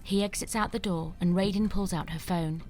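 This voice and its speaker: Low-pitched woman narrating a screenplay. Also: fairly even intonation, moderate pace, a little mellow, slight background noise. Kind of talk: scripted narration of stage directions.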